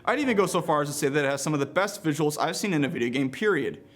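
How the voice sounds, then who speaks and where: A man's voice: a little high, lively intonation, very fast, fairly bright tone. A man narrating a scripted video game review in a playful, conversational manner, with casual asides and emphatic delivery.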